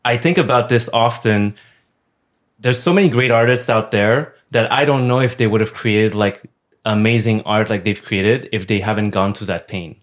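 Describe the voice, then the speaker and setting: A man hosting a podcast, talking in a relaxed, conversational, joking way. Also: on the low side, moderate pace. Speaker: podcast host.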